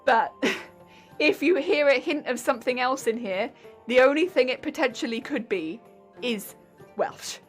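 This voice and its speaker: A woman's voice: sits high, measured pace, faint music behind. Giggly southern English woman streamer. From Hampshire, England. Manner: giggly, rambling, chatty with frequent laughs. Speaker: craft streamer. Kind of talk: casual live-stream chat while sewing.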